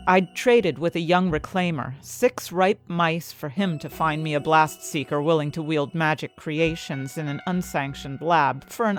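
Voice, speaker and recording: A woman playing an ambitious, indignant fantasy inventor, narrating her own story expressively and articulately in an audio drama. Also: low voice. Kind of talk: first-person dramatic audio fiction narration. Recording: music bed behind the voice.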